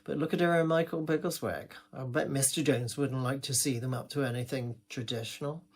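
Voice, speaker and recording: A man's voice: mid-range voice, fairly even intonation, fairly quick. A man with an English accent performing a gossipy comic monologue, rambling along in an indignant village-gossip manner.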